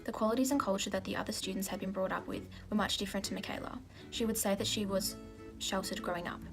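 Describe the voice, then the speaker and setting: A young woman, a university student, reading a scripted analysis in a brisk, matter-of-fact way. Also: medium pitch, steady tone. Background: background music.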